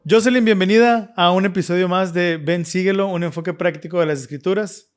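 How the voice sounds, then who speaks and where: A man's voice: high-pitched, steady tone, a little fast, clear, resonant tone. A man hosting a scripture study discussion, speaking earnestly with fillers and restarts. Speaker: podcast host.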